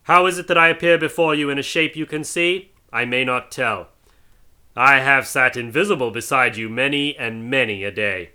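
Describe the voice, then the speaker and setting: A man voicing an anguished male ghost in chains, declaiming in a wailing, drawn-out way. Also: medium pitch, sharp tone, smooth. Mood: solemn. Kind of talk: dramatic audiobook reading.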